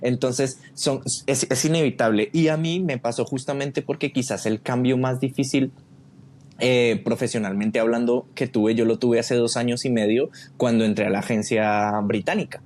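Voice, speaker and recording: A man's voice: mid-range voice, fairly even intonation, moderate pace, a little mellow. A man with a Colombian accent talking casually and reflectively as a guest in an interview. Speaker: podcast guest, creative director.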